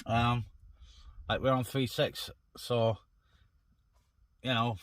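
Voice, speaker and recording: Guy doing cb radio calls, bright forward voice, bit of umming, casual. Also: medium pitch, flat delivery, slow pace. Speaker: CB radio operator, net helper.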